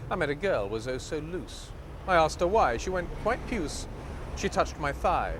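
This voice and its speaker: Male performance poet, high voice, theatrical and deliberate in rhymed verse, clear noise behind him. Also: big pitch swings. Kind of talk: reciting rhyming poetry.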